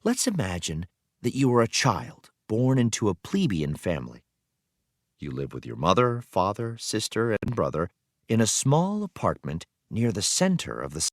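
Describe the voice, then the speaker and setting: Male educational narrator, deep register, animated read-aloud delivery for young learners, dry close sound. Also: no echo. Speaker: teacher reading aloud. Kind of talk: read-aloud narration of a children's history text.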